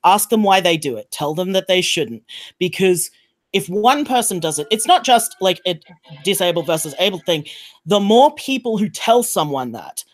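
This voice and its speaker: A woman with a fairly low voice talks on a podcast panel. She is frank and informal, speaking off the cuff in a conversational style. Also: sing-song, moderate pace. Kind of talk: casual panel discussion.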